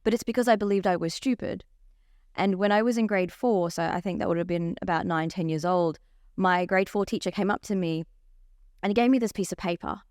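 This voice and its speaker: Open, animated woman. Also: lowish voice, fairly quick, no echo, studio quality. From Australia. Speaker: life coach guest. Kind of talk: candid podcast interview.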